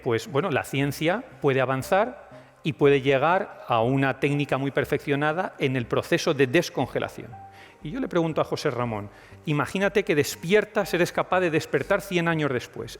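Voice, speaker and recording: A man moderates a panel, putting his questions politely and deferentially. His voice is pitched somewhat high. A long hall reverb surrounds him, with faint music underneath. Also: expressive, normal speed. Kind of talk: panel discussion.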